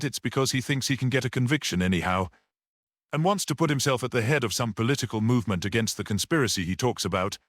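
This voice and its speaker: A man voicing a confident, worldly man in a mystery audiobook, with an animated conversational manner. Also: lowish voice, no echo, studio quality, voice pushed up, close to an everyday voice. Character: confident, worldly man discussing a murder trial. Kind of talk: audiobook character reading.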